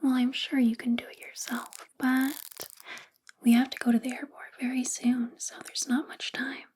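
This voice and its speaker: A woman playing a possessive, controlling female boss in a soft-spoken, whispery ASMR roleplay, coaxing and intimate. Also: sits high, little pitch movement, normal speed, a little hiss or hum, lightly acted. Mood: softly probing. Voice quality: breathy, whispery.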